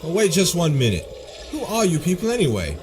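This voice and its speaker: A man's voice: a little high, wildly swooping pitch, brisk. An actor playing a bewildered, ordinary young man swept into adventure in an audio drama, plain and questioning.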